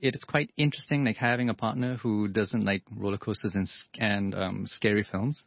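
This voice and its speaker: A man's voice: lowish voice, steady tone, brisk, vocal fry, dry recording. Guy guest on a radio show, laid back, laughs a lot, dull dry sound. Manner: easygoing, laughing, casual. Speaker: guest, community organizer. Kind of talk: radio interview. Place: radio studio.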